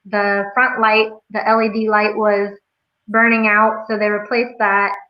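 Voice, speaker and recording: A woman's voice: mid-range voice, level tone, unhurried. A woman from Florida chatting casually as a guest on a show. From Florida, United States. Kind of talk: casual chat. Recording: echoey, dull video-call audio.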